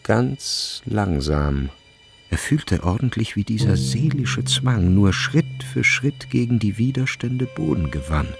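A man narrating a literary radio drama in a measured, grave and deliberate way. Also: fairly low pitch, very animated, gravelly, over music.

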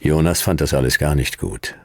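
An actor playing a hardboiled private detective in a sci-fi radio drama, laconic and dry, with a gravelly voice. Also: male voice, rumbling low, expressive, normal speed, a little mellow, dry recording, full character voice. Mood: laconic, deadpan. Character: hardboiled male private detective narrating his own sci-fi case. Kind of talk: radio drama narration and dialogue.

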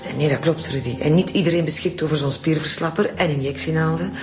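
An actress with a Flemish accent playing a breezy, informal forensic doctor in a naturalistic radio drama. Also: female voice, deep voice, quick pace, natural voice. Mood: informative. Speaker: actor. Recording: music behind the voice.

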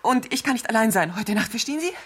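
A woman's voice: a little high, expressive, fast talker, close to an everyday voice. An actress playing an anxious, demanding rich wife who fears for her life in a radio thriller, stammering and pleading. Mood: frantic, pleading. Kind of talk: 1970s German radio thriller acting.

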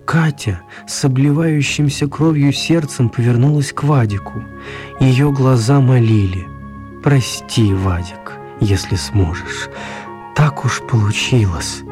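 A wry storyteller a male actor narrates a children's radio play in a mid-pitched voice. He is expressive and mock-serious, pausing deliberately for effect, with faint music underneath. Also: natural voice.

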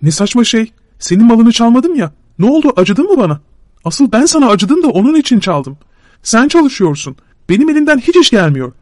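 A man's voice: extremely high pitch, big pitch swings, fairly quick, a little mellow, no room sound, studio quality, voice pushed up. A man voicing a whiny, boastful young vagabond who pleads and talks down in a petulant way. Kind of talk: audiobook character voice.

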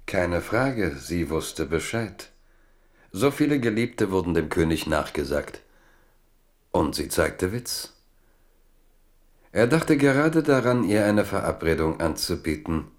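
Male radio-play narrator, low and very slow, measured literary delivery. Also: very animated.

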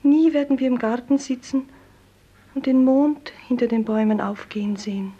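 An actress playing a drowned young beloved, tender and humble, in a solemn 1950s German radio play, half-whispering. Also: female voice, a little high, fairly even intonation, warm tone, close to an everyday voice. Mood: sorrowful, devoted. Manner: solemn, poetic, slow. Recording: dull 1950s radio recording.

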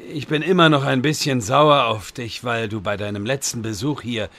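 A man playing a bumbling, sheepish old wizard with a husky, gravelly voice in a comedy audio drama. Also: mid-range voice, moderate pace, fairly bright tone, lightly acted. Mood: reluctant. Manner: hesitant, sheepish. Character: bumbling, embarrassed old wizard reading a letter aloud.

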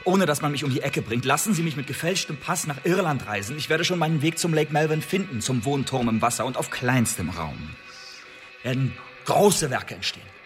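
An actor in a radio drama playing an impassioned Elizabethan poet and secret agent, speaking eloquently, theatrically and insistently. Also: male voice, medium pitch, expressive, brisk, natural voice. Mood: fervent, pleading. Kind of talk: German radio drama acting, monologue-like dialogue. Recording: long reverberant hall, music underneath.

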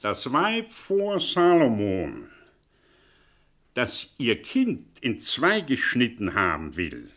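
Radio play role: a cynical, lecturing professor, scornful and theatrical, with highly lively intonation. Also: male voice, slow pace, full character voice. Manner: rhetorical, mocking, lecturing. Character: cynical, condescending male professor with a choleric streak. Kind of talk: German radio drama acting.

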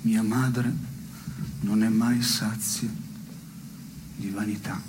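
An actor playing a dry, probing man in an absurdist family dialogue, speaking in deliberate, mock-formal phrases in a radio stage play. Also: fairly low pitch, little pitch movement, noisy background. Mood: pensive, provoking.

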